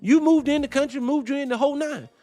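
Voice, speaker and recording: A man's voice: very high-pitched, fast talker, soft background music. A young adult man hosting a talk show, delivering heated, profane commentary with rambling tangents. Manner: profane, animated, ranting with tangents. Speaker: host.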